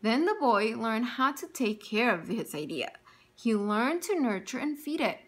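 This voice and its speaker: This is a woman with a bright, somewhat high voice and a very lively, sing-song pitch. She reads a storybook aloud as a teacher. She tells it expressively and keeps turning to her young listeners with gentle questions. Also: unhurried. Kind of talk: reading a picture book aloud to children.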